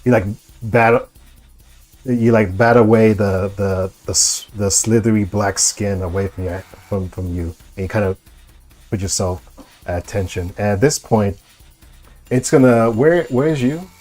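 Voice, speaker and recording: A man with a lowish voice narrates a tabletop horror game as game master. His phrasing is halting and circles back on itself as he improvises. Music plays behind him. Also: darkish tone.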